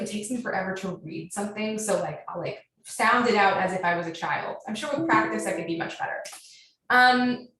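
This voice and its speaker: A woman with an American accent answering questions as a guest author in a casual, animated, chatty way that leans on "like". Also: medium pitch. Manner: animated storytelling with frequent "like". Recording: very echoey, distant, faint noise.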